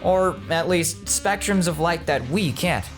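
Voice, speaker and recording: A man's voice: on the high side, measured pace, music in the background, natural voice. A young man playing an earnest, nerdy college student with a stubborn streak in an audio drama. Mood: eager explaining.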